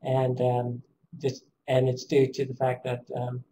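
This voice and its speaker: A man with a raspy, strained voice gives a webinar presentation over a call. He speaks in a flat, level tone and pauses often with ums. A faint hiss sits under the audio. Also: mid-range voice, poor audio. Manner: hesitant, many ums, measured.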